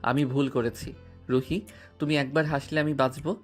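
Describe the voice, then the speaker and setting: A man's voice: mid-range voice, fairly even intonation, moderate pace, natural voice. A male voice actor playing a playful, devoted young husband in an audio romance drama. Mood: tender. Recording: music bed underneath.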